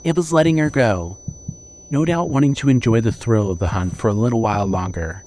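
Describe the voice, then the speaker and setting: A man narrating scripted horror fiction in a measured, dramatic storytelling manner. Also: mid-range voice, darkish tone, a little hiss or hum.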